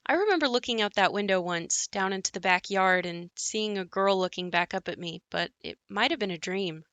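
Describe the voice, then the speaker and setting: Bright-voiced actress as an uneasy haunted daughter. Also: female voice, medium pitch, a little fast, no echo, lightly acted. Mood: uneasy, reflective. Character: uneasy daughter recalling her haunted childhood home. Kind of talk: audio play monologue and dialogue.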